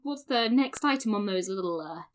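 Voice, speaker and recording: A woman's voice: mid-range voice, lively intonation, moderate pace, natural voice. An actress playing a flamboyant, teasing best friend in her twenties, bantering theatrically in an audio drama. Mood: mischievous. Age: 20s. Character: flamboyant, mischievous, charismatic young best friend.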